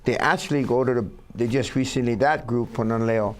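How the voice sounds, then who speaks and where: A man from Hawaii, talking in a casual studio chat and pausing often on "uh" in a plain, pidgin-tinged way. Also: mid-range voice. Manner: halting, many "uh" fillers, plain pidgin-tinged phrasing. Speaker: foundation founder, co-host.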